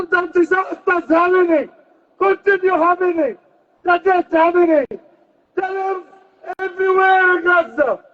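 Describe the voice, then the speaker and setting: A man delivers a fiery rally speech in a very high, strained pitch. He speaks slowly and forcefully, hammering repeated phrases with pauses between them. The recording is slightly muffled. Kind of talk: impassioned political speech at a rally.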